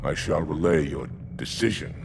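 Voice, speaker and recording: An actor playing a proud, stern alien military commander in a video game, speaking formally and forcefully. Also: male voice, extremely low pitch, expressive, normal speed, gravelly, heavy background noise, heavily acted. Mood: restrained deference. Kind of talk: cinematic game acting. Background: music.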